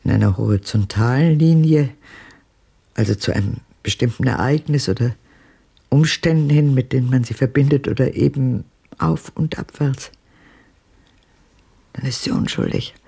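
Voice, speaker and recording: Husky, gravelly old woman. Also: a bass voice, dark tone, full character voice. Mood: haunted, reflective. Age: older. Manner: hesitant, halting, reflective. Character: haunted, wistful elderly woman recalling her past. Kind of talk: German radio drama acting.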